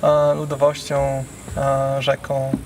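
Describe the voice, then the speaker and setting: A man's voice: mid-range voice, completely flat delivery, takes it slowly, a little mellow, distant mic, faint music behind. A man giving a casual interview as a scriptwriter, hesitant and repeating his words. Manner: hesitant, stammered repetitions.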